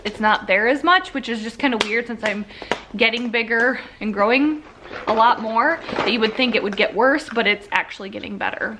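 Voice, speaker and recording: A young woman talks through a casual vlog update in a bright, slightly high voice. She sounds a little congested and sniffly, and she rambles along with lots of fillers and easy asides. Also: slight background noise. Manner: chatty, rambling, with frequent fillers and laughs. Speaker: vlogger.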